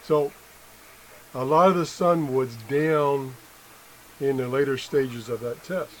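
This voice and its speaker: A middle-aged man giving an unscripted hands-on demonstration as an amateur tester, halting and correcting himself as he thinks aloud. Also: mid-range voice, fairly even intonation. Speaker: amateur product tester and video host. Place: indoor room at a workbench. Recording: noisy room with background sound.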